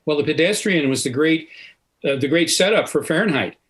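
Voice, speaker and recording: A man's voice: on the high side, expressive, a little fast, fairly bright tone. An older man speaking as an academic panelist on a video call, explaining in an emphatic storytelling way. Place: indoor home study, video call.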